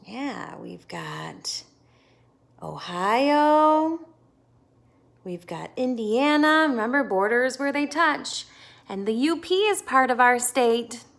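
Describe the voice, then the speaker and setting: An American woman reading a picture book aloud to children in a teacherly way, pausing to put questions to them. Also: fairly high pitch, gravelly, fairly bright tone. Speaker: read-aloud host for children.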